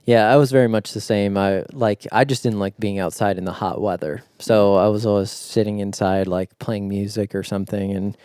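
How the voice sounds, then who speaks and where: A young American man hosts a podcast interview in an easygoing, conversational way. His voice is low and mellow with a smooth, clear tone, recorded close and dry. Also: fairly even intonation, moderate pace, hardly any pauses, dry recording. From United States. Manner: easygoing, many fillers and agreements. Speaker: podcast host. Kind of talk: casual interview.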